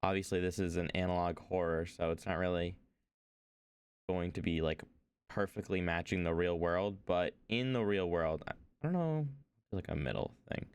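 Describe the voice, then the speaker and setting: Low, smooth-voiced young guy streaming. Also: moderate pace. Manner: rambling, hesitant, with many fillers. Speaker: streamer reacting to a video. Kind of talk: casual reaction commentary.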